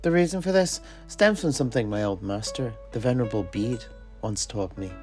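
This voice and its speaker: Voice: medium pitch, expressive, fairly quick, over music. A storyteller narrating fictional history in character, in a grave, literary and dramatic manner. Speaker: storyteller of a fantasy lore show.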